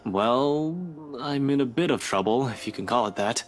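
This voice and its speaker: Game role, read by a man: young male detective inspector, hesitant and evasive, average pitch, music behind. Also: expressive, close to an everyday voice. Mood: hesitant, uneasy. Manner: halting, subdued, evasive.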